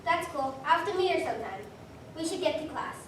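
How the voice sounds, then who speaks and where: A woman's voice: very high voice, expressive, fast talker, pitched above the performer's own voice. A woman voicing a popular, cheerful middle school girl in a stage reading, recorded from far off in an echoey room. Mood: friendly. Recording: very echoey room, distant mic, noisy.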